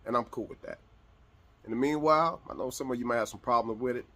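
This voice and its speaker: A man with a husky voice, speaking casually and directly to his online viewers. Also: medium pitch, quick pace, fairly bright tone.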